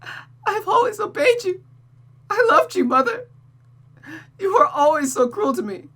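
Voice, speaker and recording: A woman's voice: very high voice, sing-song, measured pace, fairly bright tone, pitched above the performer's own voice, heavily acted. A woman voicing a desperate, sobbing young woman who pleads and stammers, read from a visual novel. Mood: wounded devotion. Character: Rosa, sobbing desperate young woman devoted to cruel Mother. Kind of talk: visual novel character reading.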